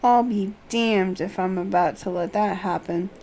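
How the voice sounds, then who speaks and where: A woman voicing an indignant military camp officer from a fantasy game, reading the dialogue in a deliberate, drawn-out way. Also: medium pitch, gravelly, warm tone, a little hiss or hum. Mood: indignant, commanding.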